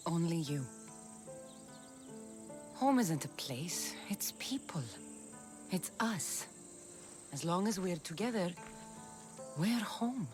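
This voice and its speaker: An actress playing a weary but devoted warrior mother in a video game, wry and earnest in a measured way. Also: on the low side, animated, over music. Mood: tender, earnest. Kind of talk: dramatic video game acting.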